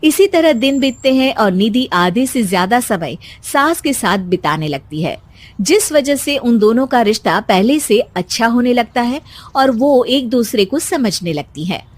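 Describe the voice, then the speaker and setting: High-voiced woman narrating a story. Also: animated, a little slow. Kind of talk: scripted cartoon story narration.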